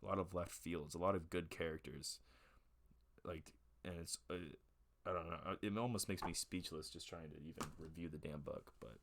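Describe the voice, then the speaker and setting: Chill young guy with a deep voice, rambling along casually with lots of "like" and "i don't know". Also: fairly even intonation, normal speed, background noise. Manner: laid-back, rambling, lots of fillers. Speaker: host of a music and talk show. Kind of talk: casual rambling book review.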